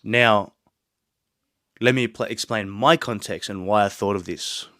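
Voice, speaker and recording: Sick, low-voiced male podcast host. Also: unhurried, bright, forceful tone, no room sound, studio quality. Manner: earnest, motivational, rambling asides. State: sick with sore throat and blocked nose. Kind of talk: casual solo podcast monologue. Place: small home studio room.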